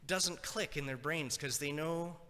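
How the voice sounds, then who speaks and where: A man's voice: on the high side, measured pace, bright, forceful tone, slight background noise. A man from Nova Scotia whose first language is French, giving a chatty conference lecture and checking in with the audience. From Nova Scotia, Canada. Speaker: conference speaker. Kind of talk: conversational lecture. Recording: long reverb of a hall.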